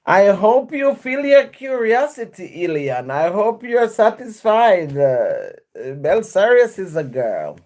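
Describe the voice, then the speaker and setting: Slow, lively woman live-streamer. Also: mid-range voice. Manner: chatty, answers comments aloud, laughs often. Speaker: livestream host reading viewer comments. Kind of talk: casual live-stream chat.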